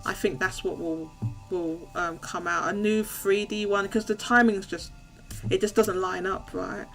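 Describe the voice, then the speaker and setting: A woman hosting a live call-in stream, chatty and upbeat, her voice going a little hoarse. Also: medium pitch, steady tone, unhurried, a bit bright, soft background music. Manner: chatty, restarts often, upbeat. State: losing her voice. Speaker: host.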